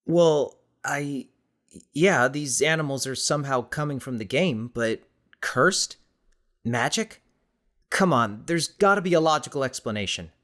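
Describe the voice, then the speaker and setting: A man's voice: mid-range voice, measured pace, a bit bright, voice pushed up, close to an everyday voice. Man playing an exasperated, skeptical teenage boy. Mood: defensive, sardonic. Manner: sardonic, defensive, hesitant when cornered. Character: exasperated skeptical eighteen-year-old American high school boy.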